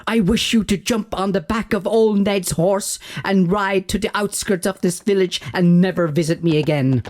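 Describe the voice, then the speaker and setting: A man's voice: high voice, normal speed, a little mellow, voice pushed up, lightly acted. A man voicing a poor, panicky tailor in an audio folk tale, whining and pleading frantically. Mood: frantic. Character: panicky, pleading, down-on-his-luck male tailor.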